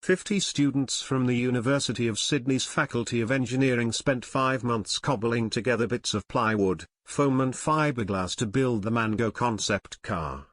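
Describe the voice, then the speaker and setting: A man reading scripted passages aloud in a measured, neutral way. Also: medium pitch, a bit bright, dry recording, studio quality. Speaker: narrator reading practice passages. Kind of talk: scripted read-aloud narration.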